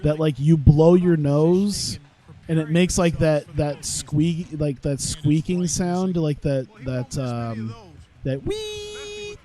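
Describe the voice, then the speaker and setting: A man's voice: a little high, lively intonation, takes it slowly, mellow. Stuffy-nosed man rambling over a game. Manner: rambling, chatty, complains with frequent fillers. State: stuffed up from sinuses. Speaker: gaming YouTuber. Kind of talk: casual gameplay commentary. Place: home room at his computer.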